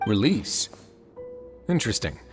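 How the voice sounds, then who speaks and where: Composed, authoritative duke. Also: male voice, lowish voice. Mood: pondering. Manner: measured, calm, formal. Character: composed, authoritative male duke running a prison. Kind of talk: video game voice acting. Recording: music behind the voice.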